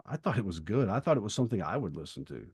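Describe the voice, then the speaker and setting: A man's voice: on the low side, sing-song, rapid-fire, a little mellow, slightly rough, dry recording, clean studio sound. A middle-aged man co-hosting a podcast, chatting casually in a bookish, opinionated way and explaining things at length. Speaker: podcast co-host and writer. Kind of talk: casual conversational discussion.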